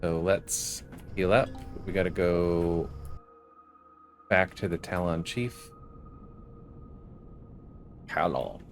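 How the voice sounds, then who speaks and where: A man with a low, gravelly voice talks casually through his gameplay as host of a gaming video. Faint music plays behind him. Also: steady tone, extremely slow, long pauses. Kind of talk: casual gameplay commentary, partly reading game dialogue aloud.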